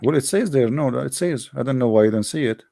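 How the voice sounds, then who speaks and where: A man's voice: mid-range voice, lively intonation, quick pace, creaky, warm tone, no echo, clean studio sound. A man whose first language is Arabic, hosting an online debate with a caller. He talks insistently, repeats his words and fires off rhetorical questions. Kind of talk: argumentative call-in debate.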